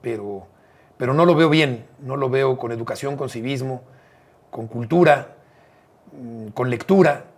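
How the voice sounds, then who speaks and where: A middle-aged Mexican man with a Mexican accent, speaking in a husky, slightly breathy voice at an ordinary mid pitch. He talks earnestly and reflectively, like a guest opening up in a friendly interview. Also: measured pace. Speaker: interview guest, radio broadcaster. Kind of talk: warm casual interview talk.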